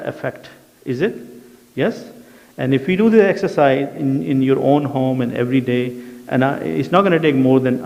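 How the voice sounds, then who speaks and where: A man's voice: mid-range voice, creaky, darkish tone. A man with an Urdu accent teaching a Quran class, reciting Arabic verses and translating them line by line, often checking in with his students. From South Asia. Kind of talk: classroom lesson explaining verses. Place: mosque education centre classroom. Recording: dull sound, long hall reverb.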